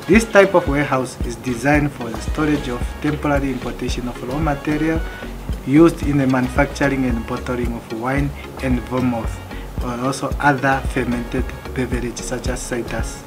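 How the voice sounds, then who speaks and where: A man in his thirties from Namibia, calmly going through customs warehouse rules one by one, with some restarts. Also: medium pitch, normal speed. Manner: plain, methodical, listing items with occasional restarts. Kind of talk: explanatory presentation. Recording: music playing behind.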